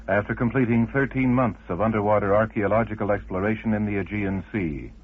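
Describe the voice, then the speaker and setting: A man's voice: fairly low pitch, breathy edge. A man reading a scripted news report in the role of a news reader. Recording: muffled old radio recording.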